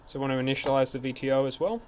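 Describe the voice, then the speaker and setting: A man's voice: medium pitch, very fast. A man narrating a hands-on technical tutorial in an informal, step-by-step way. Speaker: tech support instructor. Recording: clear background noise.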